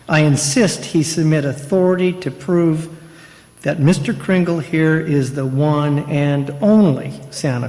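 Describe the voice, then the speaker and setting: An indignant state prosecutor acted by a man in an old-time radio play. He insists formally in a slightly high male voice. The sound has a hall's long reverb. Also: darkish tone. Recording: reverberant hall.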